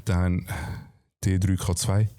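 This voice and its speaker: Low, lisping Swiss German man, slangy. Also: flat delivery, takes it slowly, dark tone, smooth. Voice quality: slight lisp. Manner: slangy, blunt, informal. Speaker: fitness talk guest. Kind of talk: casual chat.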